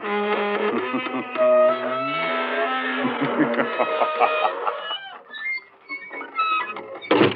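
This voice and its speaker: A man's voice: high-pitched, wildly swooping pitch, very slow, pauses often, over loud music. A man hosting a 1940s horror radio show in a sardonic, macabre, punning manner. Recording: thin, phone-like 1940s radio recording.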